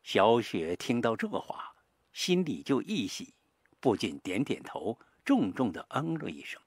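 Husky male audiobook narrator, lively intonation. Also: mid-range voice, dry recording, studio quality. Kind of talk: dramatic scripted horror-mystery narration.

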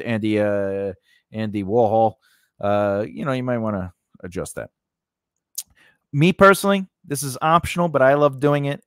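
A man with a medium-pitched voice walks through a lesson in a loose, conversational way. He checks in often with small "you know" and "all right" tags, like an instructor explaining practical steps. The sound is close and dry, with little room around it. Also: no room sound. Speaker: screenwriting instructor. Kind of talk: informal instructional lesson, talking through an outline.

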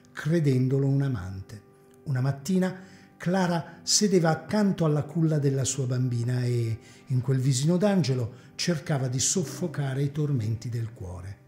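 Theatrical man narrating, mellow, echoey room, faint guitar. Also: fairly high pitch. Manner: expressive, theatrical reading. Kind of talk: dramatic serial novel narration. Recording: echoey community hall.

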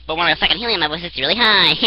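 A young man talking with a helium-raised voice, giddy and loud, into a headset mic. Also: sits high, slightly rough, no room sound. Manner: giddy, loud, laughing exclamations. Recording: dull lo-fi headset audio.

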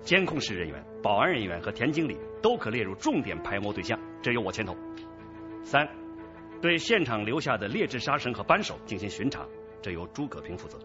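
An actor in a radio drama playing a patient, methodical police squad leader, affable yet authoritative. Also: male voice, fairly low pitch, very animated, a little fast, music in the background. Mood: businesslike, firm. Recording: dull lo-fi radio drama track.

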